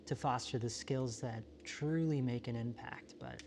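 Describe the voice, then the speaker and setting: A man playing a solitary, introspective apocalypse survivor in a found-footage monologue, talking in a halting, self-questioning, confessional way. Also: medium pitch, background noise, close to an everyday voice. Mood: weary, reflective. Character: solitary, world-weary, introspective male survivor of a quiet apocalypse.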